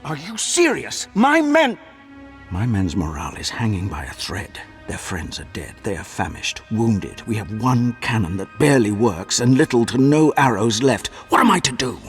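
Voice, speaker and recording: A man's voice: mid-range voice, sing-song, moderate pace, soft background music. An actor playing a weary, sarcastic male commander holding a barricade. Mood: exasperated. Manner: sarcastic, exasperated.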